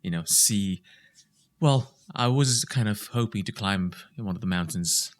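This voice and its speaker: A man's voice: fairly low pitch, a little slow. A man from Nepal hosting a podcast interview, friendly and a little hesitant, with plenty of fillers. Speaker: podcast host. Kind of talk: casual interview.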